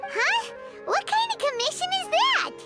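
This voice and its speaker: Squeaky, excitable tiny fairy girl. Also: a little slow, loud music behind the voice, heavily acted. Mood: indignant, puzzled. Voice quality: very high, squeaky, childlike. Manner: refers to self in third person, exclamatory. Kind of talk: video game character dialogue.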